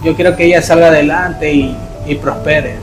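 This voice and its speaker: A man playing a tearful, soft-spoken poor young man. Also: fairly high pitch, fairly even intonation, close to an everyday voice. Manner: quiet, halting, emotional. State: crying. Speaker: young parking attendant in a staged charity video. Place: indoor room. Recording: echoey room with music behind.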